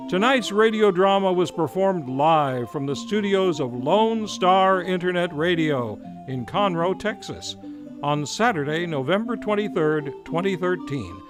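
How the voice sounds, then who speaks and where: A man announcing a scripted old-time radio show in a formal, showman-like manner. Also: fairly high pitch. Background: music.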